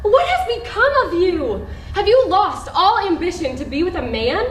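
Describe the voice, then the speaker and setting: Woman acting high, swooping, brash sardonic woman. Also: very animated, moderate pace. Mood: defiant, brash. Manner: teasing, brash. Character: bold, man-hungry woman, sardonic and stubborn. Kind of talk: live stage acting. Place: indoor theater stage. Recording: distant echoey theater with faint noise.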